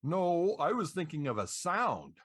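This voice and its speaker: A man with a slightly high, animated voice and a dry sound. He hosts a podcast in a playful DJ manner, with scripted banter and introductions. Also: unhurried, breathy edge, no echo. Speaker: podcast host.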